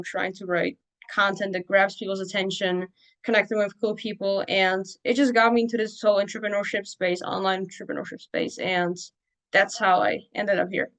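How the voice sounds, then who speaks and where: A woman's voice: mid-range voice, a bit bright. A young woman, a native Czech speaker, talking casually as a podcast guest, loose and full of fillers and restarts. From Czech Republic. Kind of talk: casual interview talk.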